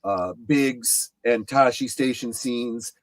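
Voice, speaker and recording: A middle-aged man chatting casually on a fan discussion show, halting yet emphatic as he explains things in detail. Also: medium pitch, no echo. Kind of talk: casual chat about films and memorabilia.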